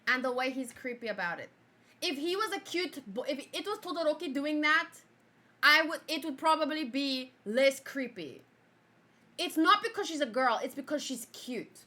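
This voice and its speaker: A young woman whose first language is Afrikaans talks through an anime review in a chatty, giggly way. Her voice sits high and sounds bright and sharp. She bursts out loudly, then drops into quiet muttering to herself. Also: animated, moderate pace. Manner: chatty, giggly, jumps between loud outbursts and mumbling to herself. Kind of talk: casual review chat reacting to her own old video.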